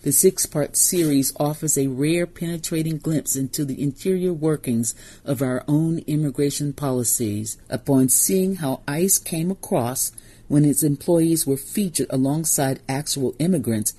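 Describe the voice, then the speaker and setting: A woman hosting a radio program, reading scripted narration in a measured, deliberate way. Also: low voice, dark tone, slightly rough. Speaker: radio host.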